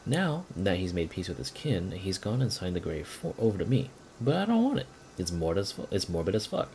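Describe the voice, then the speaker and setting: A man's voice: sits low, very animated, brisk, a little mellow, a little hiss or hum. A man voicing a wry, grumbling sanatorium patient's letter in a video game, conversational and emphatic.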